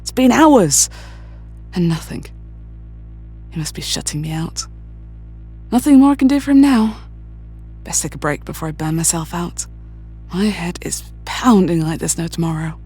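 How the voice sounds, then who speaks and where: An actress playing a weary telepathic heroine, articulate and proper, in a superhero audio drama. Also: female voice, on the low side, moderate pace, long pauses, dark tone, husky, soft background music. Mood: exhausted irritation.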